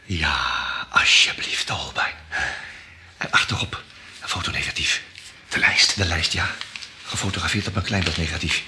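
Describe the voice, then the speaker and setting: A man acting in an old radio play, talking quietly and half-whispering while he searches in the dark. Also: low-pitched, normal speed, background noise. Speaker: radio drama actor.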